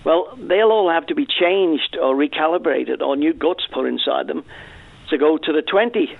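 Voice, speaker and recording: A man's voice: a little high, moderate pace, gravelly, no room sound. A man calling a radio phone-in, chatty and joking. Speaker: caller. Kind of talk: phone-in call, casual chat. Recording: dry tinny phone line.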